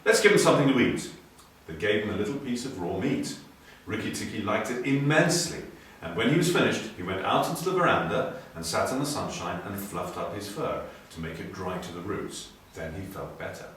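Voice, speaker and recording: Animated male storyteller in an echoey room. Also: low voice, brisk, slight background noise. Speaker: storybook reader. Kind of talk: scripted children's story narration, expressive. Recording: very echoey room, distant mic.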